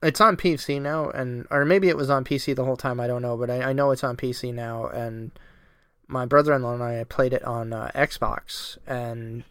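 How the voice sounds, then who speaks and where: A man's voice: mid-range voice, fairly even intonation, normal speed, a bit bright, clear, resonant tone, dry recording, studio quality. A man co-hosting a gaming podcast, chatting with enthusiasm and swearing casually. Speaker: podcast co-host.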